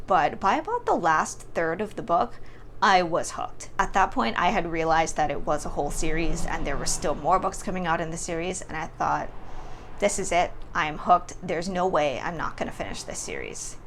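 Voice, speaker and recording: A woman giving a casual, expressive book review to camera in an informal, emphatic storytelling way. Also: lowish voice, moderate pace, slight background noise.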